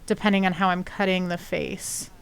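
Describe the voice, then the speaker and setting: Sniffly woman rambling thru a clay tutorial, lots of ums, smooth clear voice. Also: on the low side, steady tone, moderate pace, poor audio. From not from Texas. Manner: rambling, chatty, many fillers. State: sniffly from allergies. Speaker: sculpting streamer. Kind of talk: casual live-stream tutorial chat. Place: home studio, lives in Texas.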